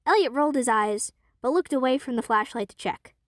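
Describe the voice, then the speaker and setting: A young woman narrating a student audio drama in an expressive, lively storytelling manner. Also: high voice, a little fast, dry recording, studio quality. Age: young adult. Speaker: narrator and voice actor.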